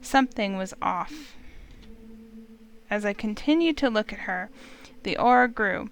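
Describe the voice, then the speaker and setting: A woman hosting a game playthrough, reading the story aloud as expressive narration. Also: medium pitch, unhurried.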